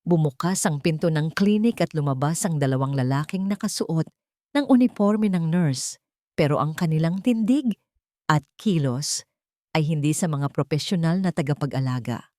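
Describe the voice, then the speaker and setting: A Filipino woman reads a dramatic story in a low voice, close and dry. Her delivery is solemn and theatrical, like a scripted first-person audio drama. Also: expressive, normal speed, warm tone, no echo.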